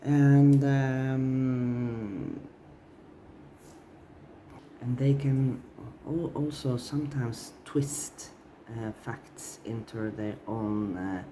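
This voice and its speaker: Guy doing a tarot reading, scratchy voice, slow and dark, lots of uhs. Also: mid-range voice. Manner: hesitant, many fillers and restarts. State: scratchy, strained voice. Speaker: tarot reader.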